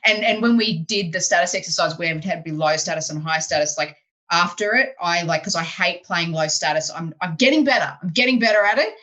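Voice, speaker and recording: A lower-pitched woman with a bright, forceful voice, hosting a podcast. She chats animatedly over a video call and keeps tucking in "you know" and "like". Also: brisk. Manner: animated, chatty, many fillers like "you know". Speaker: podcast host and improv teacher. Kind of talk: casual interview chat.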